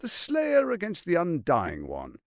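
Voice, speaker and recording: Suave, pompous villain lord with wildly swooping delivery. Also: male voice, high voice, wildly swooping pitch, measured pace, creaky, no echo, clean studio sound, full character voice. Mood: persuasive. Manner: pompous, silky, theatrical delivery. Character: suave, scheming, arrogant tyrant lord, adult man. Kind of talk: theatrical video game voice acting.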